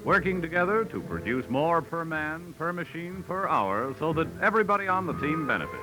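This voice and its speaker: Vintage network announcer: American man, formal and emphatic, lively pitch, on an old radio recording. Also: fairly quick, music in the background, rough recording.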